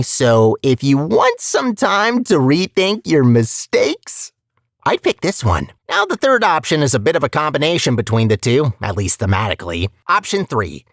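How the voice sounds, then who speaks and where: A woman's voice: sits low, no echo, studio quality, heavily acted. A woman voicing a scheming, sarcastic AI villain in a video game, chatty and mock-polite in a theatrical way. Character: female scheming AI villain, breezily cheerful, sarcastic and murderous. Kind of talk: video game character dialogue.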